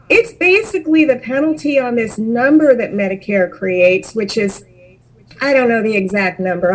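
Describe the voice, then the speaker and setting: A woman who works as a financial planner, a guest in an interview, telling her story with animated asides. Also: on the high side, low-quality recording. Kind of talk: conversational interview.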